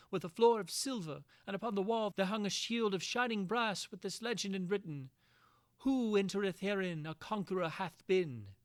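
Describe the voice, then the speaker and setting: A man acting as a bombastic teller of knightly legends, pitched well up and loud. The delivery is grand, archaic and heroic, like a storybook romance declaimed aloud, in a dry recording. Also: dry recording, natural voice. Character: narrator of a bombastic old chivalric romance read aloud.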